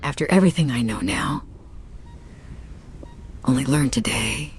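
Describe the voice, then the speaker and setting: Low-voiced, brooding, anguished wife played by an actress. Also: female voice, lively intonation, a little hiss or hum. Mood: brooding sorrow. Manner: halting, brooding, speaks in short broken phrases. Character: anguished wife of an unconscious musician, mother of a young boy. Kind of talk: radio drama acting, intimate monologue.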